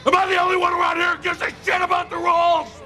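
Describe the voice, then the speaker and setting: Brief: indignant middle-aged man, shouting, very high and tense. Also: monotone, fast talker, exaggerated voice. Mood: furious. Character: furious middle-aged man ranting about rules. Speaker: film clip.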